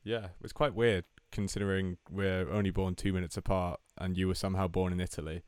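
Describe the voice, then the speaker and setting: Deadpan teasing man, low voice. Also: a little fast, smooth, dry recording. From Brazil. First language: Portuguese. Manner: dry, teasing banter with swearing. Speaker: podcast co-host. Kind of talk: casual chat.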